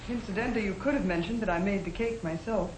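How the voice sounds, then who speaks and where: An actress playing a spirited young American working wife who coaxes and argues, in the style of a 1950 educational film. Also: female voice, medium pitch, quick pace, a little mellow, lightly acted. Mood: irritated. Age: young adult. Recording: echoey, faintly noisy 1950 film soundtrack.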